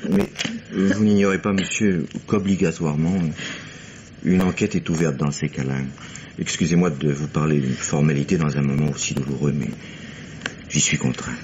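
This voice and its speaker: An actor playing a formal, regretful police inspector in the style of 1950s French radio drama, polite and measured. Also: male voice, rumbling low, steady tone, a little mellow. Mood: probing, tactful. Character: courteous, regretful male police inspector breaking bad news. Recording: dull, noisy old radio recording.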